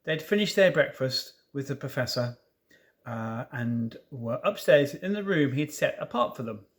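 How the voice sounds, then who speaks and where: A man reading a children's story aloud in an expressive way, with informal asides to listeners. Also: mid-range voice, a little slow. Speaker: storybook reader.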